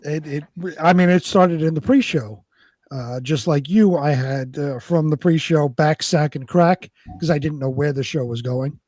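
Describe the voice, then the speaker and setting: A man's voice: fairly high pitch, moderate pace, darkish tone, dry recording. A man co-hosting a live show in casual, conversational chat. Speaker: co-host of a live online show.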